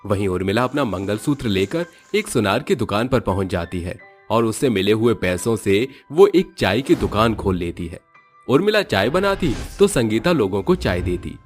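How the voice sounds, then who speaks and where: Low-voiced male storybook narrator. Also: normal speed, faint music behind. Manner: steady, matter-of-fact.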